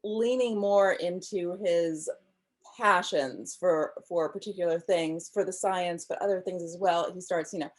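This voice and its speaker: A woman in her thirties talks about her craft on a video call from a room at home, her voice sitting a little low. She explains things thoughtfully and with enthusiasm, pausing on ums as she works out her points. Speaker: novelist guest. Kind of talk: casual interview chat.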